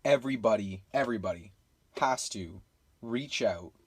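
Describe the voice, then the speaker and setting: A man's voice: lowish voice, animated, moderate pace, slight background noise. A man with a Canadian accent making an impassioned, unscripted appeal, emphatic and exasperated, leaning on rhetorical questions. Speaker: weather radio video maker.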